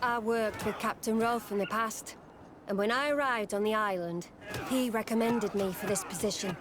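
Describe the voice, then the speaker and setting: A woman's voice: medium pitch, moderate pace, close to an everyday voice. An actress playing a disciplined female military instructor in a video game, formal and respectful. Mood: composed. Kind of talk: video game cutscene acting. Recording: outdoor ambient noise throughout.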